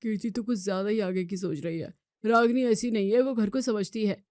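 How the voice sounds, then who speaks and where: A woman's voice: mid-range voice, fairly quick, darkish tone, no echo, studio quality, close to an everyday voice. A woman playing a short-tempered, fault-finding husband in a family drama, nagging and complaining. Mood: defensive. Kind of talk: Hindi family drama voice acting.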